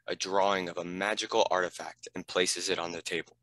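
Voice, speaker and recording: A man's voice: low voice, fairly even intonation, brisk, fairly bright tone, dry recording. A man giving scripted narration, reading out the stage directions of a screenplay.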